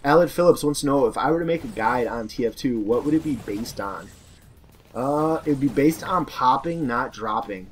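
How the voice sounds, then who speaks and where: A hoarse young man, a gaming YouTuber, casually answering viewer questions in a loose, rambling way full of fillers and restarts. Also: mid-range voice, lively intonation, moderate pace, a little hiss or hum. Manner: rambling, filler-heavy, self-correcting.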